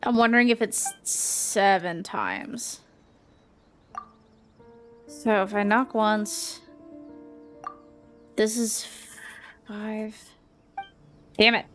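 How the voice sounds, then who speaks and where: A woman's voice: medium pitch, animated, extremely slow, long pauses. A woman game streamer giving casual commentary, thinking aloud in an informal way. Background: faint game music.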